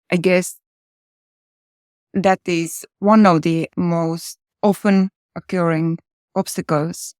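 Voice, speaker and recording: A woman's voice: lowish voice, gravelly, dry recording, clean studio sound. A woman hosting an interview in English, which is not her native language, asking thoughtful questions over a video call. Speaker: host, interviewer.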